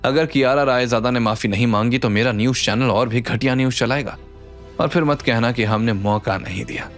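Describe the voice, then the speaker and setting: A man's voice: mid-range voice, a little fast, lightly acted. A man playing an arrogant, threatening woman who runs a news channel in an audio drama. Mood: smug, threatening. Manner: confident, haughty. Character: arrogant, threatening female media boss. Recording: background music under the voice.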